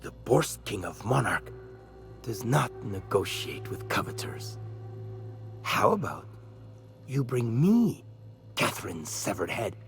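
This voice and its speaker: An actor playing a pompous, menacing meat tycoon and killer in a video game, florid and mock-polite, dropping into breathy whispers. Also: male voice, lowish voice, big pitch swings, slow pace, pauses often, faint music behind, exaggerated voice. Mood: impatient, threatening. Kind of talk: video game character acting.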